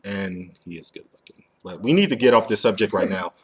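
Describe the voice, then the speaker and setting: A man's voice: fairly low pitch, lively intonation, fairly quick, breathy edge. A man hosting a casual fan talk show, speaking informally and with plenty of slang. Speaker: podcast host. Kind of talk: casual fan discussion of an animated series.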